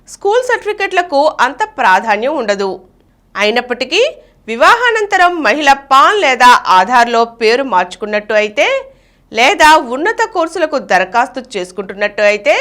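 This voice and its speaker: Higher-pitched, lively woman narrator. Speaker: reader of an informational article. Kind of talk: scripted informational narration, read aloud.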